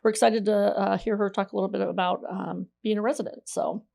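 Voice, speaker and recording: Woman podcast host with creaky voice. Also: medium pitch, steady tone, brisk, warm tone. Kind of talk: casual co-hosted podcast chat.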